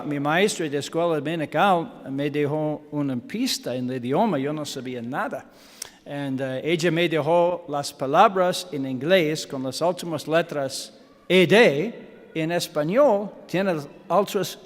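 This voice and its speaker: A man with an American accent, a native English speaker, preaching in a church in halting Spanish with English fillers and self-deprecating humor. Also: fairly high pitch, in a large hall. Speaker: guest pastor. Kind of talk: sermon with Bible reading. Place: church sanctuary.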